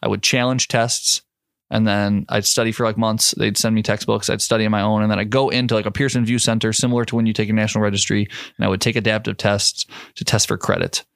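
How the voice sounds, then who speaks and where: A man's voice: lowish voice, level tone, quick pace, gravelly, a bit bright, dry recording, studio quality. A man co-hosting a medical podcast, a nurse talking loosely with lots of "like" and restarts. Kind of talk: casual medical chat.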